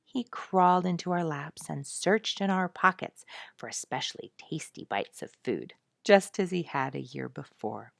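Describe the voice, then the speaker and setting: A woman reading a story as a scripted audiobook narrator, with expressive delivery. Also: deep voice, unhurried, creaky, no room sound, clean studio sound.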